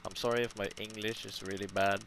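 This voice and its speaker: Norwegian man with a gravelly voice. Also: lowish voice, steady tone, moderate pace, a little hiss or hum. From Norway. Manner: modest, explains while playing, gets loud when frustrated. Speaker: gameplay commentator. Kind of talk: casual gameplay commentary. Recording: game music playing loudly behind.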